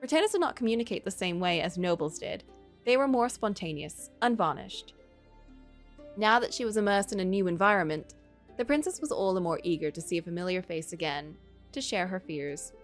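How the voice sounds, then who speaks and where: A woman game streamer reading a visual novel aloud in an expressive storytelling way, with casual asides. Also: lowish voice, a little fast, faint music behind.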